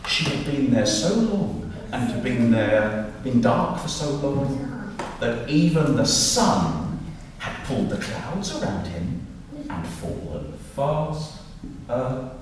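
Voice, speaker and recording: A man telling a story live to an audience of children and adults, animated and dramatic, putting questions to his listeners. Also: medium pitch, slow pace. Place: reverberant room with a live audience. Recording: echoey hall, distant mic, noisy.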